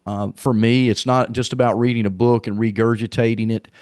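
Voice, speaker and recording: A man's voice: mid-range voice, steady tone, brisk, darkish tone, no echo, clean studio sound. A middle-aged man with a Southern accent, a guest on a podcast, talking casually and earnestly about leadership, often repeating himself as he goes. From Arkansas, US. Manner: rambling, repeats words, earnest. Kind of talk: casual conversational interview.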